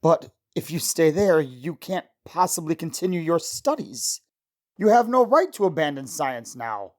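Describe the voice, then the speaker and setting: A man's voice: on the high side, big pitch swings, unhurried, a little mellow. Radio play lead: man acting a young male scientist, earnest, romantic, pleading. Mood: urgent pleading. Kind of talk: old-time radio drama acting.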